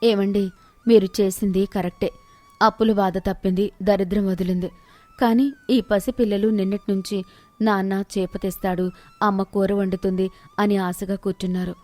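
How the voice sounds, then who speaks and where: A woman's voice: medium pitch, little pitch movement, normal speed, darkish tone, dry recording, lightly acted. A woman voicing a concerned village wife and mother with a plaintive, questioning manner in a storybook tale. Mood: sorrowful.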